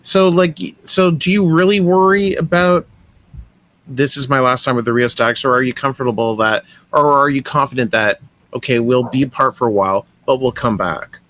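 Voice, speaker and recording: A man's voice: medium pitch, normal speed, a bit bright. A man hosting a casual interview, asking chatty, hesitant questions with fillers. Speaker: podcast host.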